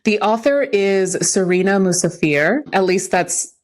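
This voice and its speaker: A woman's voice: mid-range voice, a little slow. A woman co-hosting a podcast in casual, candid conversation, telling stories effusively with frequent asides. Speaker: podcast co-host.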